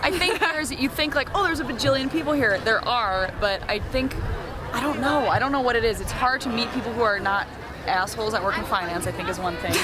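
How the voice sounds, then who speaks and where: A woman's voice: a little high, a little fast. A young woman from Minneapolis chatting casually in a street interview, often hedging with "I think". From Minneapolis, US Midwest. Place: outdoor food festival in a city. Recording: loud crowd and street noise. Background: festival crowd and traffic.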